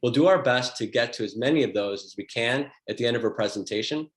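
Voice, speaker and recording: A man's voice: medium pitch, fast talker. A man hosting a webinar over a video call, politely explaining how to send questions and introducing the guest speaker. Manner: polite, instructive. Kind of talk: moderator announcements. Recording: echoey room on a call.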